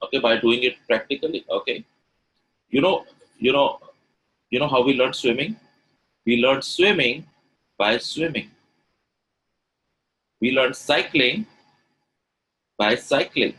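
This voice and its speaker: A man's voice: medium pitch, unhurried, pauses often, a bit bright, poor audio. A middle-aged man with an Indian English accent, a native Gujarati speaker, teaching an online webinar over a video call and asking his audience rhetorical questions that he repeats. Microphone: video call microphone.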